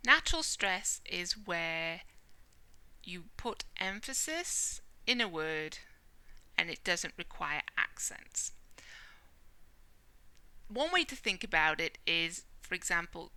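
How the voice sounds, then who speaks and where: A British woman teaching a Spanish lesson, explaining clearly and checking in with the listener as she goes. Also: lowish voice, lively intonation, slow, long pauses, pushed and bright, no room sound. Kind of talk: whiteboard language lesson.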